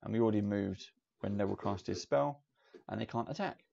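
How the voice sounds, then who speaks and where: Man, game master, gravelly voice, muffled lo-fi audio with light hiss. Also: lowish voice, fairly quick. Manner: relaxed, meandering narration with fillers. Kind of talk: narrating a tabletop game. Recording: dull lo-fi audio, faint hiss.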